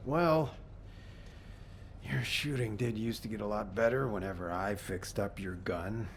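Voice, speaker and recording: A gruff game mechanic who grumbles but cares. His voice is lowish and gravelly, and he takes his time. There is a faint noise behind his dry, familiar talk. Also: measured pace. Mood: reluctant. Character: gruff, reluctant but caring male mechanic and gunsmith. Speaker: voice actor in a video game. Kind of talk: game cutscene dialogue.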